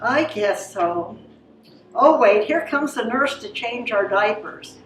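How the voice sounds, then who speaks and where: A naive, wide-eyed baby girl, played by a grown woman on stage, talking very slowly with lively swings in a highish voice. The sound is echoey. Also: noisy background. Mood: curious. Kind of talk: readers theatre stage performance. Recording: echoey hall, distant microphone.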